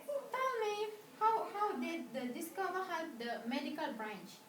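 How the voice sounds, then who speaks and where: A woman's voice: very high-pitched, sing-song, a little slow, a bit bright, heavily acted. A woman playing a polite, admiring talk show host, with formal, effusive non-native phrasing. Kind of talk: amateur acted talk show. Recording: echoey room, distant mic, faint noise.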